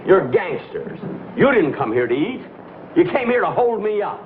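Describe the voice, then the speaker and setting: A man's voice: high voice, very animated, normal speed. An actor playing a jittery, exasperated lunch counter man in a 1960s broadcast comedy sketch. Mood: agitated. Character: jittery, put-upon lunch counter man turning bold. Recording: tinny, noisy old broadcast with audience.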